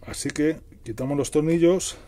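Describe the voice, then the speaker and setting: A man's voice: fairly high pitch, moderate pace, warm tone. A middle-aged man explaining a hands-on task casually, repeating and rephrasing himself as he works. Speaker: DIY tutorial host. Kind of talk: casual hands-on tutorial narration.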